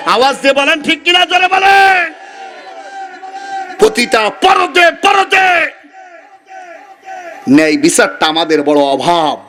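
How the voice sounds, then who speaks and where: Male Islamic preacher, Bangladeshi accent. Sharp, high voice, exhorting call-and-response sermon delivery, recorded at a live gathering. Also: sing-song, slow, long pauses. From Kushtia region, Bangladesh. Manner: emphatic, rhetorical repetition, exhorting listeners to answer. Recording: live gathering with crowd behind. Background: crowd of listeners.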